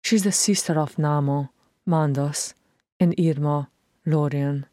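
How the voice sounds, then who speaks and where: A woman reading a fan audiobook aloud, narrating in a steady, measured way. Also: low voice, no room sound, clean studio sound. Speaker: audiobook reader.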